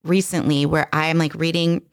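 A woman's voice: low-pitched, steady tone, moderate pace, no room sound, studio quality. A woman of forty-one co-hosting a podcast, telling stories in casual chat and swearing casually. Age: 40s. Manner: warm storytelling, laughs, swears casually. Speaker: podcast co-host.

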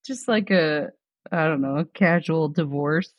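A woman's voice: low voice, a little slow, dry recording. A woman co-hosting a casual chat podcast, laid-back and drawling, asking her co-host questions.

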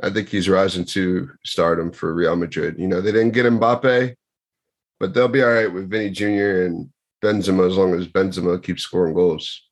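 A man's voice: low voice, little pitch movement, moderate pace. A man co-hosting a casual sports podcast, joking and teasing the others in playful banter. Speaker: podcast co-host.

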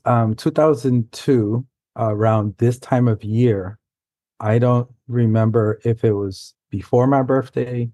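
A man from New York City whose first language is Spanish, telling stories as a guest in a relaxed, rambling way with frequent self-corrections. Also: on the low side, dry recording. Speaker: guest, science fiction author. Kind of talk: casual storytelling in an interview.

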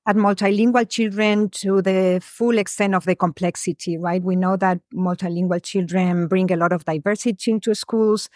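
A woman from Spain, a researcher answering interview questions in a measured, thoughtful way, pausing often. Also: medium pitch, fairly even intonation, a little mellow, no room sound, clean studio sound. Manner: measured, thoughtful, frequent "um" pauses. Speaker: guest, education researcher.

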